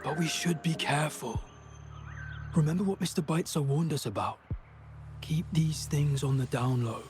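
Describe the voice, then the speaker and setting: A man's voice: on the high side, moderate pace, darkish tone, faint music behind, natural voice. An actor playing a secretive, cautious henchman in a video game, with a grumbling manner. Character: wary, secretive male henchman in a criminal scheme. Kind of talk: English video game voice acting.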